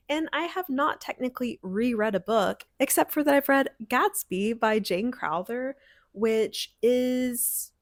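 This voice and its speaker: A woman's voice: medium pitch, no echo, clean studio sound. A woman talking casually and enthusiastically to viewers like a book vlogger, with emphatic, slangy, informal phrasing. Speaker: book vlogger, channel and podcast host. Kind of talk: casual scripted-free chat to viewers, book review.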